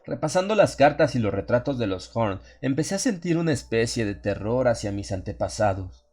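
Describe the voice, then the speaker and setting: A man reading a first-person horror story as a scripted audiobook, with measured, solemn and dramatic delivery. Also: medium pitch, sing-song, darkish tone. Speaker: audiobook narrator.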